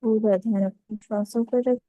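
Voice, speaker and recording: A woman leading a guided meditation, giving calm, unhurried instructions in short phrases with pauses. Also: on the high side, dry recording, phone-quality sound.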